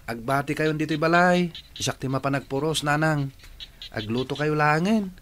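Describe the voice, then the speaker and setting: A man's voice: on the high side, normal speed, a bit bright, natural voice. A man playing a respectful, devoted young working man in a radio drama. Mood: dutiful.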